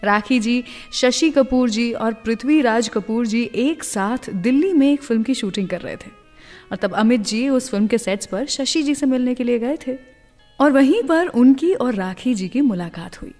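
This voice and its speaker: A woman radio host tells stories in a chatty, confiding manner, dropping English words into her sentences. Her voice sits a little high. It rings out with a long reverb, and soft music plays faintly underneath. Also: animated, normal speed. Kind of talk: scripted radio storytelling.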